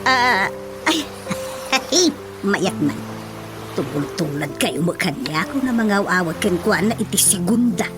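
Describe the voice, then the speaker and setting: A woman's voice: medium pitch, sing-song, unhurried. A woman acting a chatty, teasing village neighbor in an Ilocano radio comedy drama, with music behind her. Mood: amused. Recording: radio drama over prominent music bed.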